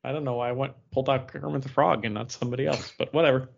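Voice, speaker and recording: A man's voice: medium pitch, steady tone, racing, creaky. A man co-hosting a podcast, chatting casually about comic books. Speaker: podcast co-host. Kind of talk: casual comic book discussion. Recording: dull, lo-fi podcast sound.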